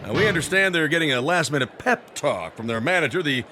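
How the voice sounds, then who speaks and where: An actor playing a peppy, folksy American baseball play-by-play announcer in a radio drama. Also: male voice, a little high, animated, fast, over music, natural voice. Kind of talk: sports commentary in radio drama.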